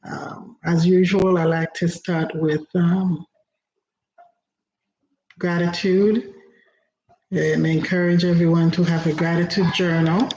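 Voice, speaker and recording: A woman from Haiti whose first language is Haitian Creole, giving an inspirational talk as host, slow and reflective with frequent pauses. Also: lowish voice, darkish tone. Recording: roomy, dull lo-fi recording with faint noise.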